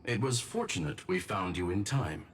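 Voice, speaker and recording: A man voicing a formal, composed gentleman robot in a video game, precise and polite in his wording. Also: sits low, animated, normal speed, husky. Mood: composed, courteous. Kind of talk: English game voice acting.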